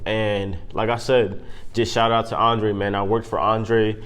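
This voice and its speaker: Laid-back young man, lowish voice. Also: flat delivery, measured pace, fairly bright tone, clear, resonant tone. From Puerto Rico. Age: 20s. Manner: laid-back, says "man" and "like" often, stumbles a little. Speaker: guest, detailing business owner. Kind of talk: casual podcast conversation.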